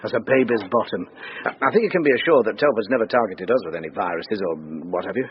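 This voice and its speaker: A man's voice: on the low side, lively intonation, quick pace. An actor playing a breezy, evasive male company boss in a radio drama. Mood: breezy, dismissive. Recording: muffled radio drama, faint noise.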